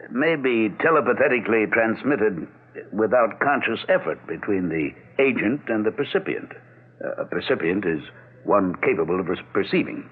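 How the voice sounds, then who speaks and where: An actor playing a learned, frank doctor in a 1970s radio drama, explaining things in a deliberate, didactic way. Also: male voice, medium pitch, slightly rough. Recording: tinny, phone-like vintage radio broadcast.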